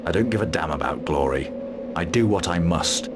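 An actor playing a stern, fearless, battle-hardened mercenary commander in a fantasy game, a man with a terse, forceful delivery. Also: deep voice, lively intonation, normal speed, heavy background noise. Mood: brooding. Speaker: army commander. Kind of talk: fantasy video game cutscene acting.

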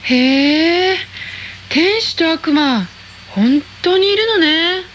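A sassy teenage schoolgirl with a high, chatty voice and a quick, cheeky way of talking, as a woman acts her out. Faint background noise sits under the lines of this radio play. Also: lively intonation, fairly bright tone, exaggerated voice. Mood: curious. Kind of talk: radio drama acting.

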